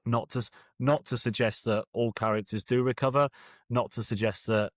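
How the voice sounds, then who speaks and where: A man's voice: mid-range voice, fairly even intonation, normal speed, dry recording, studio quality. A British man talking casually as a guest author, wry, often hesitating and correcting himself mid-thought. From Birmingham, England. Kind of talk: casual interview conversation.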